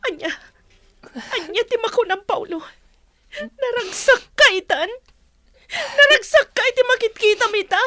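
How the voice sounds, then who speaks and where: A woman's voice: very high-pitched, lively intonation, unhurried, long pauses, pushed and bright, dry recording, exaggerated voice. A woman with a Filipino Ilocano accent acting a grieving, bitter elder sister who blames her brother in a radio drama, accusing and tearful. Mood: angry, blaming.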